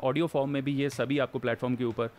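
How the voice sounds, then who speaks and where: A man co-hosting a casual podcast chat, joking around and mixing Hindi with English, with "uh" pauses as he explains. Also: mid-range voice, level tone, darkish tone. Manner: explains plainly with filler "uh" pauses. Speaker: podcast co-host.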